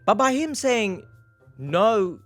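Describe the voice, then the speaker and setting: Male reaction host, slow and emphatic, exasperated but sympathetic, dry close sound. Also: sits high, no room sound, faint music behind. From England. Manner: animated, exasperated, mixes sarcasm with sympathy. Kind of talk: casual commentary on a clip. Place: indoor room under lights.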